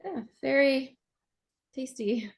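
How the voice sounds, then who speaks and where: A woman acting a dazed, fragile young woman in a staged play reading, halting and repeating herself nervously. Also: high-pitched. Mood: apologetic, awkward. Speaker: actor.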